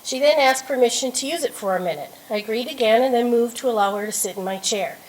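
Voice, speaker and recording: A woman's voice: on the high side, fast, poor audio. A woman telling a story about meeting a colleague, in a speech at a staff event. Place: event room with an audience.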